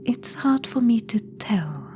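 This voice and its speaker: A woman's voice: on the high side, very animated, slow pace. A woman whispering as a scheming witch-queen spirit. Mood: coaxing whisper. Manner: condescending, teasing, commanding. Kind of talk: ASMR audio roleplay. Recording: clear background noise.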